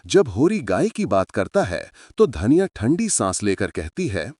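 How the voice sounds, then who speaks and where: A man's voice: fairly low pitch, huge pitch swings, unhurried, a little mellow, breathy edge, no room sound, studio quality. A man narrating a story in a dramatic scripted style, speaking to his listeners as friends.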